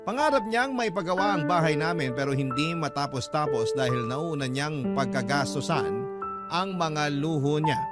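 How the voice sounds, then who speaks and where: Loud background music runs under a man's narration. His voice sits a little higher than average. He reads a scripted personal story as a radio drama narrator. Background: prominent background music.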